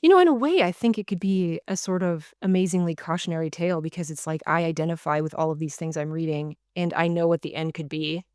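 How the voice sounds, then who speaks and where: A woman's voice: fairly low pitch, fast, few pauses, darkish tone, clean tone, dry recording, clean studio sound. A woman hosting an interview, chatting casually and encouraging her guest. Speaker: podcast host.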